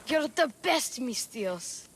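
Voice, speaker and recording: An actress voicing an eager, excitable young child full of questions in a video game cutscene. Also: female voice, sits high, slow pace, a little hiss or hum, exaggerated voice. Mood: hopeful. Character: eager young child asking after missing father.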